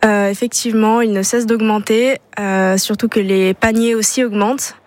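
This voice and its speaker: A woman's voice: medium pitch, fairly even intonation, moderate pace, a little mellow. A young woman, a student charity vice-president, answering radio interview questions hesitantly, with frequent filler sounds.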